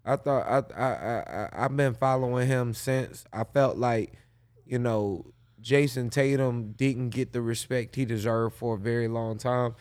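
A man's voice: medium pitch, a little slow, darkish tone. A man co-hosting a podcast, rambling and profane in casual sports banter. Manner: rambling, stumbling restarts, profane. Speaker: podcast co-host.

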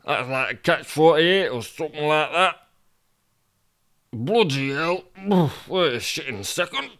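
A man's voice: fairly high pitch, pushed and bright, pitched above the performer's own voice. A man playing a belligerent, demanding, foul-mouthed man in a comic story. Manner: blustering, demanding, sweary. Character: belligerent, foul-mouthed man bossing his own legs.

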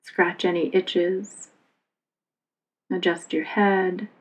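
A woman yoga instructor leading a guided relaxation meditation in a calm, soothing, unhurried manner. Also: on the low side, muffled recording.

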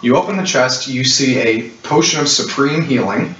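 Man, game master, halting descriptive narration, middle-pitched voice, muffled roomy recording. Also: fairly bright tone. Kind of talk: narrating a tabletop role-playing game. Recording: roomy, muffled distant mic with clicks, hiss.

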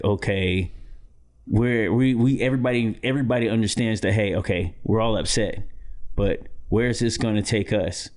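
A man co-hosting a podcast, talking in a loose, animated way, repeating himself and swearing casually. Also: lowish voice. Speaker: podcast co-host. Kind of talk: casual chat.

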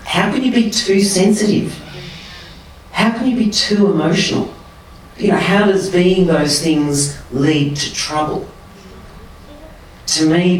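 Impassioned man, distant in a roomy space. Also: unhurried. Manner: impassioned, emphatic, rising to high pitch when animated. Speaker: guest. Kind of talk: conversational interview. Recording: roomy and distant with faint noise.

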